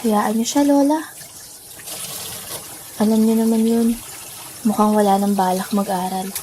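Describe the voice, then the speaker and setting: A woman playing a gentle, caring teenage girl in a radio drama, earnest and politely respectful. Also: mid-range voice, fairly even intonation, measured pace, slight background noise, natural voice. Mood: soothing. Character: gentle, caring, studious teenage twin sister. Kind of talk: dramatic radio play acting. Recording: music behind the voice.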